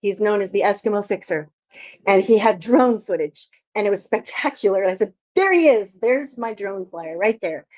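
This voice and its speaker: Woman from Alaska, filmmaker, personal storyteller, mid pitch, lo-fi audio. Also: normal speed. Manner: personal, storytelling, animated quotes. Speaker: filmmaker presenter. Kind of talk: conference talk. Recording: dull lo-fi conference audio.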